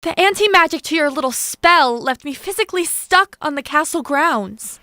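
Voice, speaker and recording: A woman's voice: high-pitched, expressive, normal speed, sharp tone, dry recording, studio quality. A woman playing a resentful princess long trapped in a castle, in a fantasy audio drama. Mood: bitter. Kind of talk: stage-style fantasy audio drama acting.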